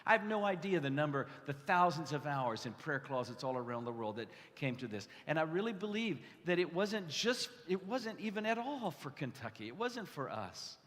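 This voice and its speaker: A man with a slightly high voice gives an earnest testimonial sermon. He talks conversationally, often restarting or repeating a phrase for weight. His words ring out with long reverb in a large hall. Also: sing-song, normal speed, few pauses, a bit bright. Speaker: guest preacher. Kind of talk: testimonial sermon to a live gathering. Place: large indoor hall, addressing a gathering.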